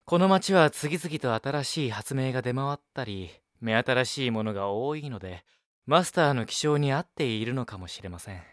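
An actor playing a dutiful, courteous young cafe assistant in an audio drama, with a gently teasing manner. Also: male voice, medium pitch, lively intonation, normal speed, dry recording, clean studio sound, lightly acted. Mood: fond. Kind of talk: Japanese audio drama acting.

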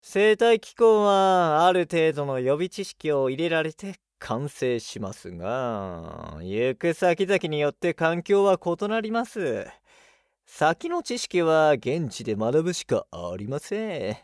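A courteous, analytical technician from a radio play. He explains at an unhurried pace with widely swinging intonation, in formal, slightly theatrical language. The recording is dry and close. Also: a little high, big pitch swings, dry recording, full character voice. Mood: explaining. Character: polite, analytical male technician of living machines, explains patiently. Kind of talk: Japanese audio drama acting.

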